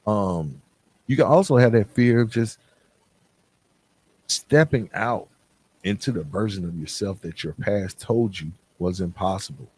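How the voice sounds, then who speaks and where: Low-voiced man, earnest podcast host. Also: unhurried, pauses often, darkish tone, slightly rough, rough recording. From Atlanta, United States. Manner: earnest, conversational, with rhetorical questions and check-ins. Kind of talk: motivational talk addressed to the listener.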